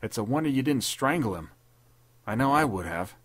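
A man's voice: medium pitch, lively intonation, moderate pace, no echo, pitched above the performer's own voice, lightly acted. A man playing a blunt army lieutenant who sides with his captain. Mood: indignant. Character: sympathetic male army lieutenant.

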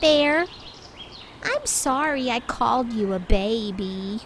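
Woman voicing a theatrical female storybook badger, lively swoops. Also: a little high, takes it slowly, a little hiss or hum, heavily acted. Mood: contrite. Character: female badger, neighborhood bully who turns contrite.

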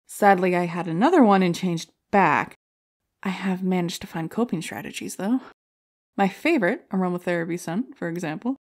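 Casting: woman as brash stand-up comedienne for audio drama, medium pitch, self-mocking, punchline-driven. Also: darkish tone, lightly acted. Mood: wry, joking. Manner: jokey, rhetorical, punchline-driven delivery. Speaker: comedy contest contestant.

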